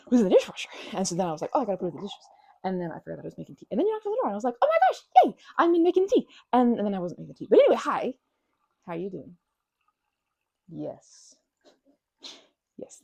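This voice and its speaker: A woman chatting casually to the listener as a best friend in an ASMR roleplay, bubbly and expressive, rambling and changing course mid-thought. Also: medium pitch, vocal fry, husky.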